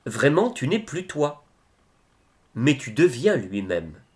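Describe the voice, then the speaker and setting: A man reciting devotional poetry in a solemn style, with measured, expressive phrasing and pauses. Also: medium pitch.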